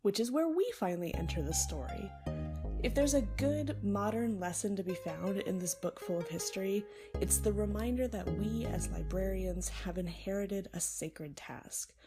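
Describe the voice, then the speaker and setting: A woman's voice: mid-range voice, moderate pace. A woman reads a scripted video essay in a conversational, emphatic way, with wry, informal asides. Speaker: library student presenting a book review. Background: background music.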